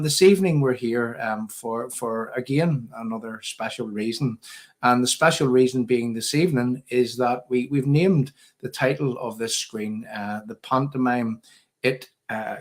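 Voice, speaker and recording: A man with a Northern Irish accent hosting a political livestream in a casual, conversational way, often hesitating. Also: medium pitch.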